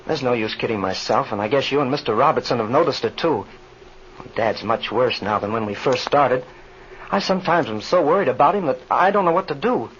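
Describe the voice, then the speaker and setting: A man's voice: mid-range voice, expressive, fairly quick, a bit bright, natural voice. Earnest, anxious young American pilot male actor, boyishly polite, 1930s radio drama style, muffled and a bit noisy. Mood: worried. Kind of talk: 1930s radio serial acting.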